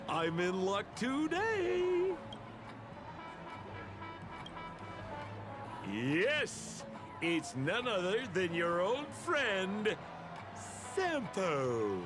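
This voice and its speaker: A theatrical game con man with a raised, swooping voice. He takes his time over his words, flattering and playful like an oily salesman. Music plays behind him. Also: sits high, full character voice. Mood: gushing, sly. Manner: flamboyant, ingratiating, salesman-like. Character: sly theatrical swindler, smooth-talking young man, playful and oily. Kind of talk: video game voice acting.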